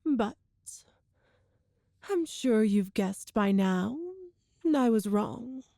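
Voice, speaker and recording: Woman doing an evil immortal sorceress queen, kinda high but mellow, talks real slow and smug, like an audio roleplay villain. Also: vocal fry, dry recording, clean studio sound. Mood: smug, confiding. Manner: deliberate, condescending, grandiose. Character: cruel, ancient immortal sorceress empress, smugly superior. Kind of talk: scripted dramatic monologue, ASMR roleplay.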